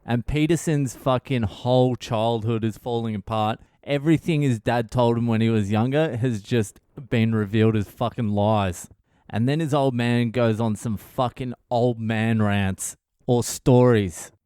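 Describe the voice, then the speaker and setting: A male comedian hosts his own podcast and retells a story in a crude, profane way, laughing as he goes. His voice is smooth and clear. The sound is dry and close. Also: mid-range voice, measured pace, warm tone, no room sound. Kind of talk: comic storytelling monologue.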